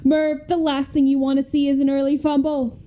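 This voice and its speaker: A woman's voice: sits high, level tone, normal speed, mellow, lightly acted. A young woman playing an earnest, emphatic sports color commentator in a comic radio play. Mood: earnest analysis. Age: young adult. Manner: earnest, emphatic analyst delivery. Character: female sports color commentator, earnest, keen, gravely serious.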